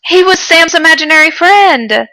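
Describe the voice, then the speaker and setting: Bright-voiced woman in casual chat. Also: very high voice, lively intonation, normal speed, low-quality recording. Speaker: podcast co-host. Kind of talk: casual chat about an animated film.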